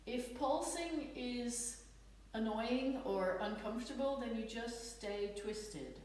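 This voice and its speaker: A woman with a slight Dutch accent guides a stretching class, her cues gentle and encouraging. Her voice sits a little high. It rings through a very echoey room, picked up from a distance with faint ambience behind. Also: slow pace, a little hiss or hum. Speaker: fitness instructor.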